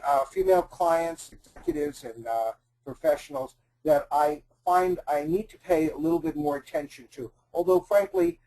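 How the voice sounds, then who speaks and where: Mellow-voiced man, lively reflective pitch. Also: poor audio. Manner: measured, reflective, hesitant fillers. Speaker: executive coach. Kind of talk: webinar panel discussion.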